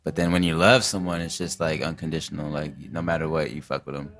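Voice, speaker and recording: A man's voice: rumbling low, steady tone, fast talker, a bit bright. A man co-hosting a podcast, chatting casually in slangy, informal phrasing. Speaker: podcast co-host. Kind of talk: casual chat.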